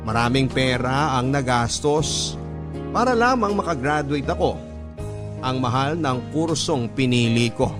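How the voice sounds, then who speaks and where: An actor narrating in the first person as a sincere young pilot, with a Filipino accent, in scripted radio-drama style. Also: male voice, mid-range voice, lively intonation, measured pace, over music, close to an everyday voice. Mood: grateful. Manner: earnest, sincere. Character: earnest young male pilot telling his life story.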